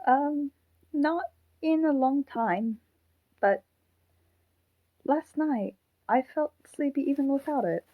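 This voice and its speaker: A woman voicing a shy, apologetic living puppet in an audio drama, speaking in a hesitant, gentle way. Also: sits high, slow pace, pauses often, dry recording, phone-quality sound. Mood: shy, reassuring.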